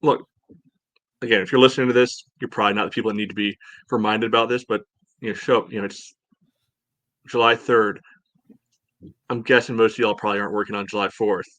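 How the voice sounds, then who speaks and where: A man's voice: fairly low pitch, steady tone, fast, long pauses, a bit bright, no room sound. A man co-hosting a sports podcast in informal, casual talk full of verbal padding. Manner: informal, peppered with "you know". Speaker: podcast co-host.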